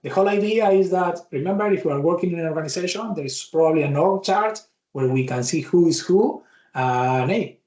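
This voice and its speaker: A man from Argentina with a Spanish accent, Spanish being his first language, giving a live bootcamp software demo in a chatty, think-aloud way that restarts words. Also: a little high, roomy sound. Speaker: bootcamp instructor.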